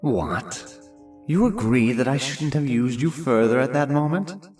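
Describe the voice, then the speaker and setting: An actor playing a cynical, indolent manor lord with a sardonic, pompous, lecturing manner in a fantasy audiobook. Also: male voice, mid-range voice, expressive, a little slow, a little mellow. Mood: wry, wary. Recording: music behind the voice.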